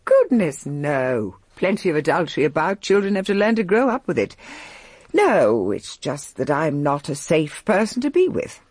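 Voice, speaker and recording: An actress playing a bold, worldly upper-class English lady in a radio drama, husky-voiced, brisk and frank. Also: low-pitched, sing-song, darkish tone, no room sound, exaggerated voice. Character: bold, reckless, worldly aristocratic English mother.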